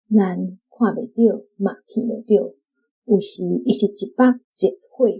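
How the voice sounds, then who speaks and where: A woman with a Taiwanese accent reads a prepared reflection at an unhurried pace. Her voice is husky and gravelly, giving the scripted book commentary a rough grain. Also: medium pitch, dark tone, low-quality recording. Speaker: guest reader from a book club.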